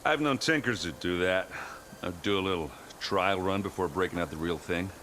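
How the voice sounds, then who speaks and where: A gruff male warrior ally, speaks very low with faint noise around him. He is plain-spoken, casual and a little hesitant, a folksy video game fighter. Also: moderate pace, a bit bright. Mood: casual, puzzled.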